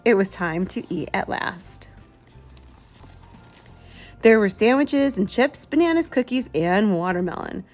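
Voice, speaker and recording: Female storyteller for young kids, medium pitch, expressive picture-book delivery, light music underneath. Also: unhurried, long pauses. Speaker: children's book reader, channel host. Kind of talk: picture-book read-aloud with asides to a child.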